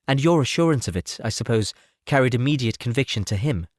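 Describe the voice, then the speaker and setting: A man voicing a spirited, self-assured young gentlewoman in an audiobook, wry, earnest and articulate. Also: mid-range voice, lively intonation, fast, no echo, clean studio sound, natural voice. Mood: playful probing. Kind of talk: audiobook character voice.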